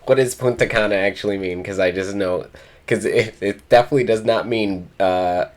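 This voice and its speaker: A man's voice: on the low side, fairly quick. A man co-hosting a podcast, chatting casually, rambling with restarts and joking repetitions. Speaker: podcast co-host. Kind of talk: casual chat.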